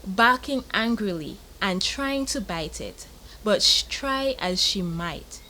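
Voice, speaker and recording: A woman's voice: medium pitch, expressive, slow, pushed and bright, a little hiss or hum, poor audio. A young woman from Montserrat with a Caribbean accent, narrating a children's bedtime story.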